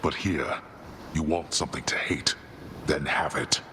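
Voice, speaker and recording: An actor playing a stern, bitter old god of the dead and father in a video game, speaking gravely and haltingly with a commanding manner. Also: a bass voice, very noisy.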